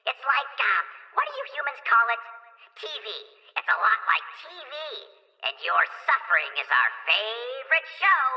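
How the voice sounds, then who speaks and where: A woman playing a small, spiteful alien propaganda announcer in a video game, squeaky and sarcastic, with theatrical, folksy mock-official delivery. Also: heavily acted. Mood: gleefully spiteful. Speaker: propaganda broadcaster. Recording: reverberant loudspeaker broadcast, slightly distant.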